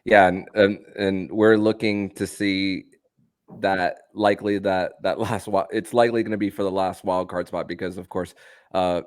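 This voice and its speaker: A man hosting a casual sports podcast, speaking haltingly and stammering over repeated words. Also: low voice, level tone, no room sound, clean studio sound.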